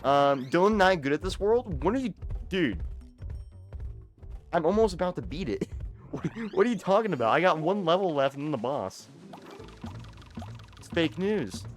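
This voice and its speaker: A man's voice: a little high, big pitch swings, moderate pace, pauses often, soft background music. A young man chatting casually while streaming a video game, rambling and often stuttering over the starts of his sentences. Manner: rambling, repeats words when starting sentences. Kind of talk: casual live-stream chat.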